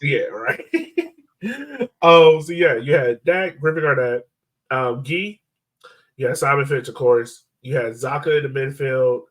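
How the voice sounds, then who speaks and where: A man's voice: medium pitch, lively intonation, slow, fairly bright tone. A man hosting a sports podcast in casual discussion, thinking aloud in an informal, conversational way.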